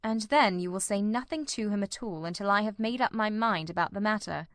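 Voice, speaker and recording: A woman voicing a brisk, dryly witty male detective in a Victorian story, with precise, commanding, formal speech. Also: medium pitch, a bit bright, no room sound, studio quality, lightly acted. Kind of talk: dramatised reading of a Victorian detective novel.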